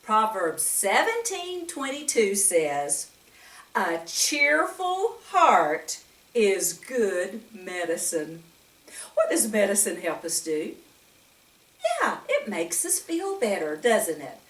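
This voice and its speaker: An older woman talking to young children as a storytime reader, in a gentle, teacherly manner full of questions to the listener. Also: medium pitch, very animated, slow pace. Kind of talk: storytime talk to young children with Bible lesson. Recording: roomy echo, distant microphone.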